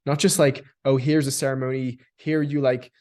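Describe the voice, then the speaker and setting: A man's voice: medium pitch, little pitch movement, normal speed, a little mellow. Thoughtful man with many restarts. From Australia. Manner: thoughtful, restarts and repeats words while building long sentences. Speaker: guest. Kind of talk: reflective conversational discussion.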